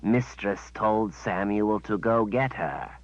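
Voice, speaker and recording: A man voicing a squat, groveling male creature servant who speaks in slow, broken, whining phrases. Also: on the low side, no echo, voice pushed up. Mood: dutiful. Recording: dull, dry sound.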